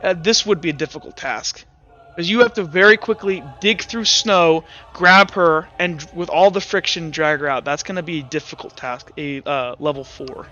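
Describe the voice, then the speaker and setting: A man acting as game master in a tabletop roleplaying game, narrating off the cuff and calling for rolls in a halting, think-aloud way. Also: on the high side, pushed and bright, muffled recording.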